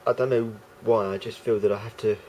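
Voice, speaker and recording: A man with a croaky voice talking casually to camera as a vlogger, rambling and hesitant with many fillers and restarts. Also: on the low side, fairly even intonation, brisk, dark tone, a little hiss or hum, poor audio.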